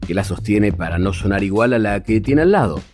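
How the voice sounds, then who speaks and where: A man's voice: fairly low pitch, lively intonation, a little fast, darkish tone, soft background music. A man narrating a scripted documentary as its host.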